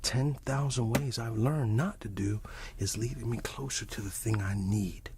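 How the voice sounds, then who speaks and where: A man giving an encouraging, rambling ASMR monologue that slips between a breathy whisper and soft speech, often repeating himself. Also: medium pitch, moderate pace, noisy background. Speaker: ASMR channel host.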